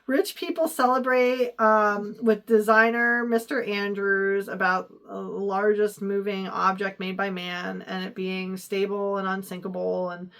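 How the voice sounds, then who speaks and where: A woman co-hosting a laid-back movie review show. She runs through the plot in a chatty way, with lots of fillers and quick giggles. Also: medium pitch, level tone, fairly bright tone. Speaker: podcast co-host. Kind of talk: casual movie review chat, recapping plot.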